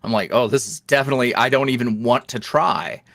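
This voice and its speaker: A man as a podcast guest talking casually, animated and laughing often as he talks. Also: medium pitch, fairly quick, vocal fry, dry recording, clean studio sound. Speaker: guest, ASMR roleplay creator.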